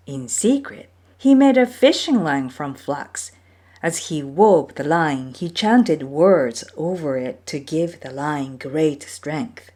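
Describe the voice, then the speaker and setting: A woman's voice: low voice, mellow. A woman storyteller reading a scripted folktale aloud as narration.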